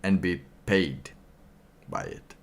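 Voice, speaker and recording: A man livestreaming a casual monologue in a halting, rambling way, with phrases that trail off. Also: low-pitched, sing-song, gravelly.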